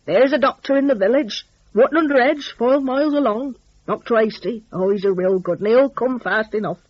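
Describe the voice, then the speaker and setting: Woman voicing a kindly husky old country shepherd. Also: fairly high pitch, fairly quick, no room sound, lo-fi sound. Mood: helpful. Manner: folksy rustic speech with soothing endearments.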